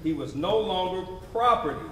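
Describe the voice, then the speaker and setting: A man narrating a scripted readers theatre piece in a deliberate, emphatic storytelling way. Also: sits high, expressive, a crowd in the background. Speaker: stage narrator. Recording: very echoey room, distant mic, responsive audience.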